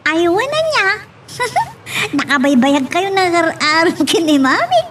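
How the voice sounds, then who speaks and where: Casting: woman as chatty, earnest schoolgirl, extremely high youthful voice, radio-style drama, off-mic with slight noise. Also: huge pitch swings, a little slow, full character voice. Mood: playful, surprised. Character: earnest, hopeful schoolgirl daughter, dutiful and chatty. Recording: distant mic with faint noise.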